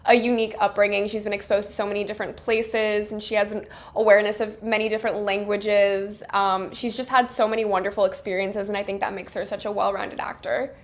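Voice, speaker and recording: A woman's voice: medium pitch, flat delivery, fairly quick, few pauses. A young woman in her twenties, a film director talking casually in an interview, laughing often and speaking in long flowing runs. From United States.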